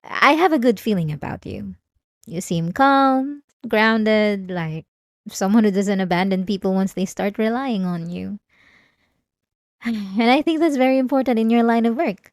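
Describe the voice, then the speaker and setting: A woman acts out an obsessive female patient confiding in her therapist, in an intimate ASMR roleplay. She sounds calm and vulnerable on the surface, with a manipulative edge and hesitant, halting phrasing. Her pitch sits in the middle of the female range, and the recording is dry, with almost no room sound. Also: no echo. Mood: soft, probing. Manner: hesitant, halting, with sighs and nervous soft laughs.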